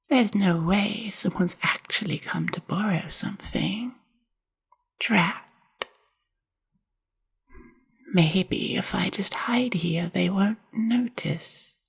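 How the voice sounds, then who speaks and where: Breathy, creaky woman as a reclusive spider-woman librarian. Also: mid-range voice, slow, pauses often, exaggerated voice. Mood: grumbling. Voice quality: breathy, whispery, husky. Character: reclusive, awkward, dryly grumbling spider-woman university librarian. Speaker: ASMR roleplay performer. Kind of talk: soft whispered ASMR roleplay.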